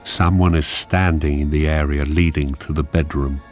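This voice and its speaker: A man narrating a radio drama, reading screenplay directions in a measured, deliberate manner. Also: rumbling low, steady tone, faint music behind.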